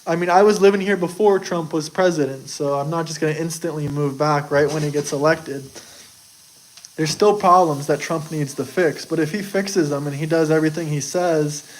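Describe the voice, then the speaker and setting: Young man, from the US, higher-pitched, boastful, defensive, casual stream talk. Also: steady tone, moderate pace, a little hiss or hum, poor audio. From United States. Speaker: streamer answering chat. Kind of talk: casual live chat.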